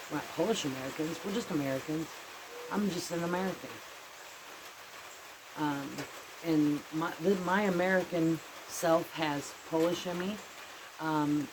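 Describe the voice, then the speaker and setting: A woman's voice: low-pitched, background noise. A woman vlogger chatting casually and rambling on a covered porch outdoors, repeating herself and breaking into exclamations. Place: covered porch outdoors under a tin roof in rain. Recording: loud rain drumming on a tin roof. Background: heavy rain.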